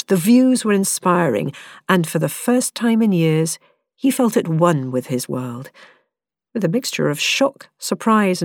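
A woman reading an audiobook passage in measured, descriptive narration. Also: fairly low pitch, a little mellow, dry recording, clean studio sound. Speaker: audiobook reader. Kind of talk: scripted narration.

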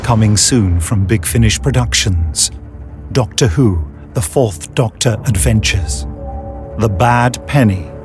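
Slow, low-pitched male trailer announcer. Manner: measured, dramatic. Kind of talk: scripted trailer narration. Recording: voice-over on music bed.

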